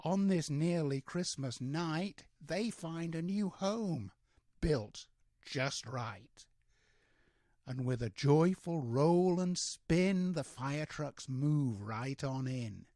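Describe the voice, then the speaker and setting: Older man reading a bedtime storybook, very slowly. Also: on the high side, expressive, mellow, dry recording, studio quality. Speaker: grandfather reading to his grandsons. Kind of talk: storybook read-aloud in rhyming verse.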